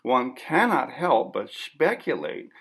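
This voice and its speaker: A man's voice: medium pitch, very animated, takes it slowly, fairly bright tone, breathy edge, exaggerated voice. A man voicing a formal, persistent interviewer in an audiobook story, politely but firmly pressing an artist. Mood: insistent.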